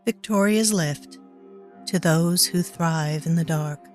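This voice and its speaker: A woman's voice: on the low side, takes it slowly, a little mellow. A woman announcing titles in a scripted, atmospheric reading. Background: ambient music.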